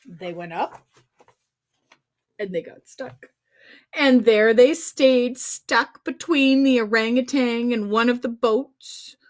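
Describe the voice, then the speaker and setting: High-pitched, playful woman reading a picture book. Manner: playful, exclaiming, dramatic emphasis and drawn-out words. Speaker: children's storyteller and host. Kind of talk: animated picture-book read-aloud with asides to young viewers. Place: recorded on a livestream.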